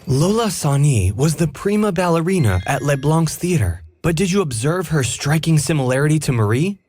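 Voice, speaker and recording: An actor playing a calm, inquisitive detective in a game, reasoning aloud in a measured way. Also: male voice, on the high side, natural voice. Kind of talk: game cutscene voice acting.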